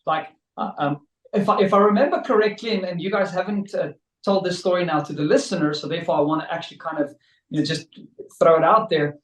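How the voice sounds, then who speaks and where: A man's voice: high-pitched, steady tone, fast. A man co-hosting a coffee podcast, joking and enthusiastic. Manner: jokey, laughs often, enthusiastic. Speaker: podcast co-host. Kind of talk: casual interview talk. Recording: echoey room, from a distance.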